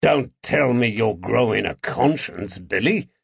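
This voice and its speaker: A man's voice: fairly low pitch, lively intonation, measured pace, gravelly, breathy edge, dry recording, muffled recording, heavily acted. Man playing a stern, barking police constable. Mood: stern, probing. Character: gruff, furious male police constable interrogating suspects. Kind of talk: audiobook character acting.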